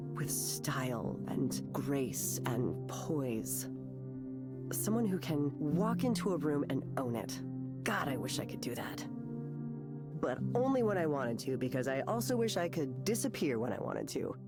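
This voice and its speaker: A woman playing a nervous, insecure young creative who rambles hesitantly in a visual novel game. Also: low voice. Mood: self-deprecating. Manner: hesitant, rambling, self-conscious. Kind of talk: naturalistic visual novel voice acting.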